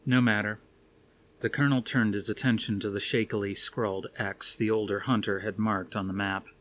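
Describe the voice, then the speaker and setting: A man's voice: lowish voice, steady tone, normal speed, a bit bright, slight background noise. A man reading a scripted story aloud as an audiobook narrator. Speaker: audiobook reader.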